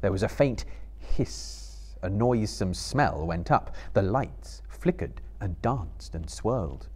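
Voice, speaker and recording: A man in his thirties giving a dramatic literary narration to a live audience, with measured, solemn storytelling. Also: on the low side, expressive, darkish tone, husky. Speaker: reader performing for a live audience. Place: small indoor stage before an audience.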